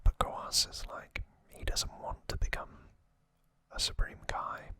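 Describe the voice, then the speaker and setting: A man speaks entirely in a breathy whisper, like an ASMR host walking through a story. The delivery is very slow and deliberate, broken into short soft phrases. The whisper has a very bright, crisp edge. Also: no echo.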